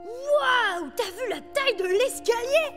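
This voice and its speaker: A woman voicing an excitable, bold, cocky young boy in a French cartoon. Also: extremely high pitch, takes it slowly, exaggerated voice. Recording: music clearly behind the voice.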